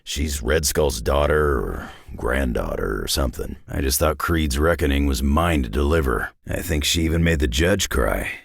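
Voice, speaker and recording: A man playing a gruff, world-weary, sardonic middle-aged superhero brawler in a video game, with a raspy growl and a blunt, wry drawl. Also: very deep voice, animated, moderate pace, no echo, studio quality, exaggerated voice. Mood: dry, weary. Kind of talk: video game character voice lines.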